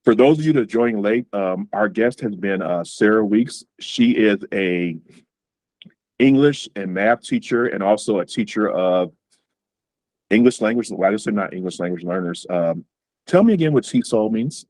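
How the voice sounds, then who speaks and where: A man's voice: lowish voice, lively intonation, moderate pace, darkish tone, no room sound. A man hosting an interview over a video call, speaking casually with many fillers and repeated words. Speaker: host.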